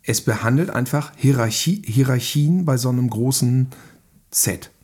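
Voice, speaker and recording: Casual man with a slightly husky voice. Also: mid-range voice, moderate pace, a little mellow. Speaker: podcast guest. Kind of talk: casual film discussion.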